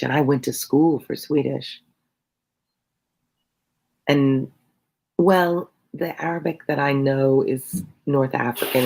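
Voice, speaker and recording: Middle-aged tutor, gravelly, husky, reads aloud then chats loosely with viewers, self-deprecating. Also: medium pitch, low-quality recording. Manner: chatty and playful with live viewers, self-deprecating. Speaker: tutor hosting a live read-along. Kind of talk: reading a novel aloud, then chatting with viewers.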